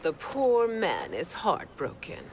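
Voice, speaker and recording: A woman's voice: lowish voice, huge pitch swings, slow pace, background noise, heavily acted. An actress playing a cruel, condescending villain queen in a video game cutscene, speaking in a silky, cold voice. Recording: orchestral game score behind.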